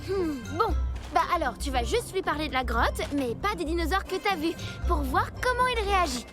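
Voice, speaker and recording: High little cartoon kid, sensible, bit wry n teasing. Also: female voice, sing-song, moderate pace, over music. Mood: reasoning, concerned. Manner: reasoning, wry. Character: sensible, teasing young cartoon child, loyal friend. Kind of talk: French animated series voice acting.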